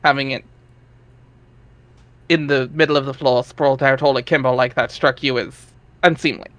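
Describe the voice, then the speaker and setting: A man's voice: mid-range voice, fairly even intonation, moderate pace, fairly bright tone, no room sound, muffled recording. A man reading scripted second-person narration for a webcomic dramatic reading.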